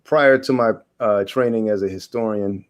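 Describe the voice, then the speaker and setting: A man in his forties from Guyana, a historian answering interview questions in a halting way, with many fillers and restarts. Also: fairly low pitch. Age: 40s. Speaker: guest historian.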